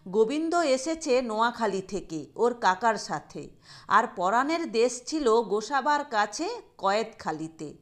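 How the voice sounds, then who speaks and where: A woman narrating a literary short story in a deliberate, expressive storytelling manner. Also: fairly high pitch.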